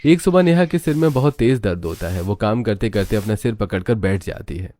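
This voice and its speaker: Guy narrating a cartoon family story from a script, fairly low voice. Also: lively intonation, brisk, mellow.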